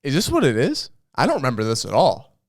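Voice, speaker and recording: A man with a mid-pitched voice that rises and falls a lot jokes around on a casual comedy podcast. He keeps it playful, laughs easily and repeats his punchlines. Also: huge pitch swings, quick pace. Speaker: podcast co-host.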